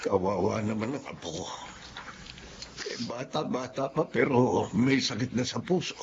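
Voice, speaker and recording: A man acts out an old grandfather, fretful and sorrowful, in a Filipino radio drama. His voice is mid-pitched. The recording is lo-fi with faint noise. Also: lively intonation, normal speed, close to an everyday voice. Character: elderly worried grandfather, Tatay Ompong.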